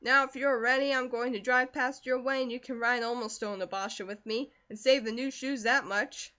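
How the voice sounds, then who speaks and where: A woman voicing a jovial, folksy male farmer neighbour who calls out loud and hearty, on a dull lo-fi recording. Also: fairly even intonation, brisk. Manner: booming, folksy.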